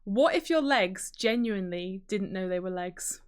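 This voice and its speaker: A woman's voice: medium pitch, expressive, unhurried, clear, resonant tone. A woman chatting casually as a podcast co-host. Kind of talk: casual chat.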